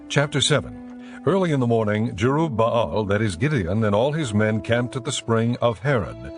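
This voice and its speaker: A man narrating a dramatized audio Bible in a measured storytelling manner. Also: fairly low pitch.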